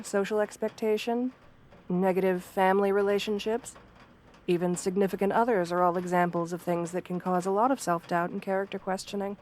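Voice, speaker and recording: Woman doing a sweet wolf girl therapist for asmr, soft spoken, medium pitch, calm and kinda formal, measured and reassuring. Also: a little hiss or hum, lightly acted. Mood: understanding, supportive. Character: sweet, understanding female wolf-girl therapist, professional and composed.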